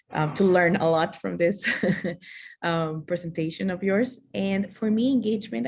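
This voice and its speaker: A woman's voice: lowish voice, creaky, slight background noise. A woman from Costa Rica introducing herself on a video call, hesitant and repeating words. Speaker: workshop participant.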